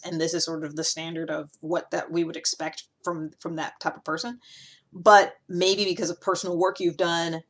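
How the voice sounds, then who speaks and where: A woman hosting a podcast in a casual, informal monologue, explaining ideas in a rambling, animated way and slipping into imagined replies. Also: lowish voice. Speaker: podcast host explaining personality typology.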